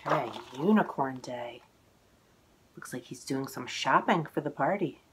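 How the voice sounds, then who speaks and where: Slow, animated woman reading to children. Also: extremely low pitch, background noise. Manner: expressive, exclaiming, drawing out words for young listeners. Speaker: children's author reading own picture book aloud. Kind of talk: animated children's read-aloud storytelling. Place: living room at home, indoors.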